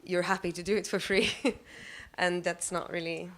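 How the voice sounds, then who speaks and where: Panelist, woman, lowish flat delivery, thinks aloud, self-deprecating. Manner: thoughtful, halting, self-deprecating. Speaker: panelist, member of a collective. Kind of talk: live panel discussion. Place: cafe of an arts venue.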